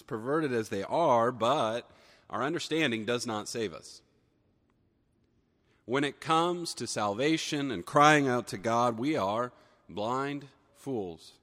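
A man preaching a sermon, a little hoarse from a cold, earnest and building emphasis on key phrases. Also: mid-range voice, fairly bright tone, big-room sound. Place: church sanctuary.

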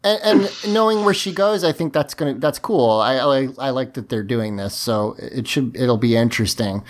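A man's voice: medium pitch, moderate pace. A man hosting a casual podcast discussion, talking a lot and emphatically while his voice is giving out. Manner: emphatic, talkative. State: losing his voice. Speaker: podcast host.

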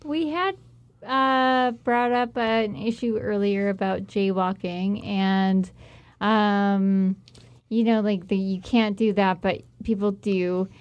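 Slow-talking woman co-hosting a talk show. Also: mid-range voice, steady tone, dry recording, highs cut off. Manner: teasing, questioning, chatty. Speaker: co-host and comedian. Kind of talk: casual talk show chat.

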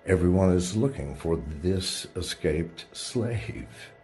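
A man's voice: low-pitched, slow, dark tone, slight background noise. A man giving a dramatic monologue in a preachy, intense way, his voice jumping up in sudden rises. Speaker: lone speaker addressing listeners from a shielded room.

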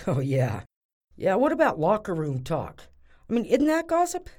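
A woman's voice: mid-range voice, huge pitch swings, unhurried, darkish tone. A woman playing a nosy, wheedling, sarcastic character in a comedic audio drama, coaxing and wry. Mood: wry, amused.

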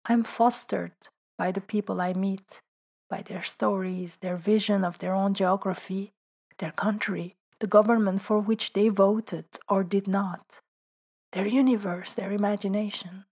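A woman, a writer and translator, reading her own reflective essay in a calm, thoughtful way, sometimes dropping to a soft whisper. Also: medium pitch, measured pace, husky. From Belgium. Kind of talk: reflective personal essay narration.

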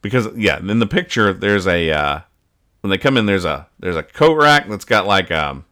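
Guy podcast host, lowish bright forceful voice, rambly and jokey, stops and starts a lot, just chatting. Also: expressive. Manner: rambling, halting, joking. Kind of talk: casual chat, describing comic strips aloud.

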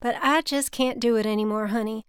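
A woman's voice: fairly high pitch, fairly even intonation, brisk, smooth, no room sound, clean studio sound, lightly acted. A woman voicing a folksy, exasperated but kind businessman with a Southern US drawl, in an audiobook story. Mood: regretful, firm.

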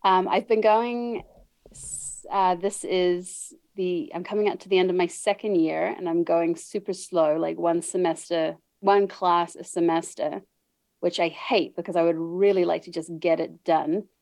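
New Zealand woman, low, dry call audio. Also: fairly even intonation, unhurried, a little mellow, dry recording. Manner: chatty, laughs often, restarts and repeats words. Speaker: podcast guest. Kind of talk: casual interview chat. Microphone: video call.

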